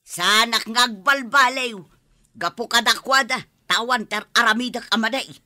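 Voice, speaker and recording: A woman's voice: fairly high pitch, a little slow, pushed and bright. An actress playing a proud, quarrelsome older mother in a radio drama, indignant and scolding. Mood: bitter, defiant. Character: proud, quarrelsome, stubborn older mother who refuses to apologize. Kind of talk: Filipino radio drama acting.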